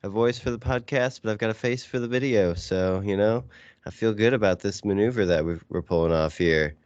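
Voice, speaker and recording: Male co-host, deep voice, casual wisecracking banter, slightly muffled recording. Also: fairly quick, darkish tone, smooth, no room sound. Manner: wisecracking, informal, salty language. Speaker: podcast co-host.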